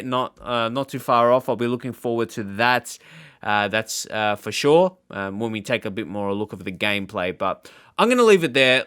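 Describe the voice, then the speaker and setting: Clear-voiced man rambling through casual commentary. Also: mid-range voice, fairly bright tone, no echo, studio quality. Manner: rambling, halting, informal. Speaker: gaming channel host. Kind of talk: casual off-the-cuff reaction commentary.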